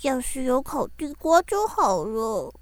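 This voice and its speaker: A woman playing a naive, sweet, hungry little piglet girl in a children's radio drama, with a slow, whiny, pleading childlike manner. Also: high-pitched, sing-song, no echo. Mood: timid pleading.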